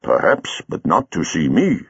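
A man doing a smooth, low-voiced hypnotist. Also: wildly swooping pitch, no room sound, muffled recording, exaggerated voice. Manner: smooth, soothing, slow. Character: suave, calm male stage hypnotist.

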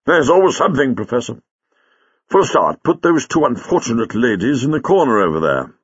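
Man playing a brisk, breezy heroic doctor. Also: mid-range voice, sing-song, dry recording, dull audio. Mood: brisk confidence. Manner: crisp orders, breezy authority. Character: confident, brisk male doctor hero taking charge. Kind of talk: dramatic audiobook character voice.

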